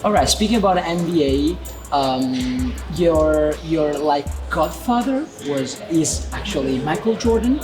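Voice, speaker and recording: A man conducting an informal sports interview in halting, repetitive English. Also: on the high side, expressive, rough recording. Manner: halting, repetitive phrasing in non-native English. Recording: music playing behind the voice. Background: background music.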